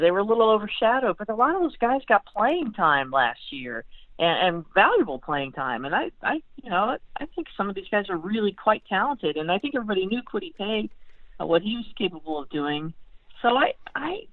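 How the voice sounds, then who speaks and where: A woman's voice: medium pitch, dry recording. A phone-like, narrow-band line carries an American woman talking sports as a guest analyst. She speaks casually and conversationally, often restarting and repeating phrases as she works out her point. The sound is dry, with no room around it. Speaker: sports beat writer, podcast guest.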